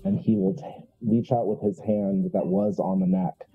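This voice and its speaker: A thin, band-limited recording carries a man's gravelly, husky voice. He narrates his character's actions in a roleplaying game slowly, with deliberate dramatic pauses. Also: lowish voice, steady tone, narrow, tinny audio, soft background music. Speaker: player in a tabletop roleplaying game.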